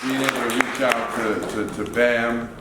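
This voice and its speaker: A man's voice: mid-range voice, fairly even intonation, moderate pace, over a noisy crowd. A man speaking as producer at a theater talkback, chatty and rambling, aware he can get long-winded. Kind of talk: casual post-show talkback remarks. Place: theater event space, indoors. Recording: echoey room, faint background noise.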